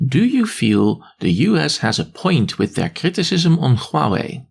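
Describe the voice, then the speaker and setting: A man moderates a question session, reading each question aloud. His voice has noticeable creak, and his pitch rises and falls in a lively way. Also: a little slow.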